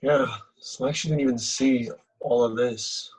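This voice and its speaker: A man's voice: mid-range voice, fairly even intonation, normal speed, a little mellow, breathy edge, close to an everyday voice, low-quality recording. A man playing a cocky, oblivious young actor and ladies' man in a table read of a comedy script, with a breezy, boastful manner. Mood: puzzled.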